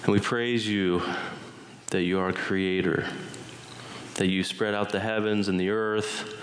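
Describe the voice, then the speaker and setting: A man leading a congregation in a slow, reverent spoken prayer, phrase by phrase. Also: lowish voice, fairly even intonation, slight background noise. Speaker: worship leader. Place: church sanctuary.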